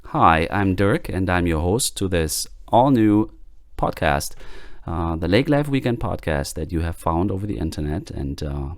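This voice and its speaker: A man's voice: deep voice, animated, unhurried. A man in his late thirties from Germany with a German accent, hosting his own podcast in a hesitant, casual way with many fillers and restarts. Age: late 30s. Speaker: podcast host. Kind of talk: casual solo podcast talk.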